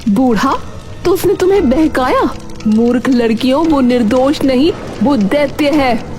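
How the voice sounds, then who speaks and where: A woman's voice: high voice, animated, a little slow, darkish tone, faint music behind. A woman doing a stern tantric priestess. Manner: chanting, commanding. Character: stern female tantric guardian of a village. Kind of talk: cartoon voice acting.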